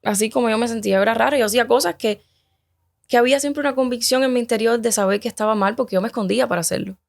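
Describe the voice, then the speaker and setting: A woman from Cuba in her thirties, telling her personal story as a guest in a casual conversation, halting and hesitant with many restarts. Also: a little high, vocal fry.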